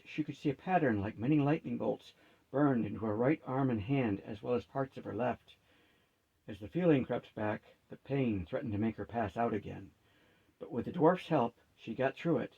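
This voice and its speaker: A man's voice: medium pitch, husky, phone-quality sound. A man reading a fantasy story aloud as scripted narration.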